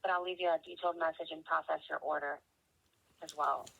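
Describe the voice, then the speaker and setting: A dull, muffled phone line carries a woman's creaky voice. She works as a help desk agent, talking through a customer's case in a halting way, thinking aloud as she checks details. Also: deep voice, fairly even intonation, sharp tone, a little hiss or hum. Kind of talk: customer service phone call. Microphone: telephone. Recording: dull, band-limited telephone line.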